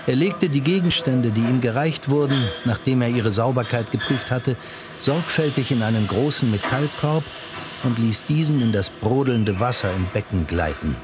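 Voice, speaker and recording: Measured male narrator, medium pitch. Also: lively intonation. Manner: measured, explanatory. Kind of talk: scripted literary narration of an audio drama.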